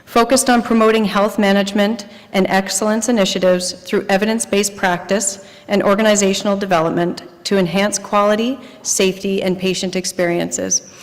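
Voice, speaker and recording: A woman's voice: medium pitch, steady tone, moderate pace, hardly any pauses, big-room sound. A woman moderating a conference, thanking a presenter and introducing the next one. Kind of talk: introducing speakers. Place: large conference hall.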